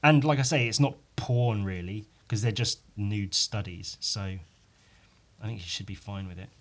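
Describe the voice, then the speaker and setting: A man with a somewhat low, croaky voice talks solo in a loose, rambling podcast style. He is informal and swears freely, often undercutting himself or ending a thought with a little tag question. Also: unhurried. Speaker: podcast host and illustrator. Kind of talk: casual rambling solo chat.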